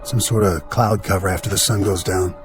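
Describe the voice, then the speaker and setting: A man's voice: low voice, fairly even intonation, brisk, music in the background, natural voice. Actor as an earnest junior spaceman. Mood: earnest, steady. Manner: earnest, military deference. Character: dutiful, earnest, suspicious junior spaceship crewman. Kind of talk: radio drama acting.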